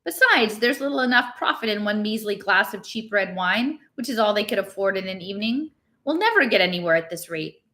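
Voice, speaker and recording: A bright, forceful voice for a harried storybook innkeeper acted out by a woman. He is a husband and father who grumbles, protests and defends himself, irritable with everyone around him. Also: mid-range voice, expressive, fairly quick. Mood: defensive, fierce. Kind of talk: expressive storybook read-aloud.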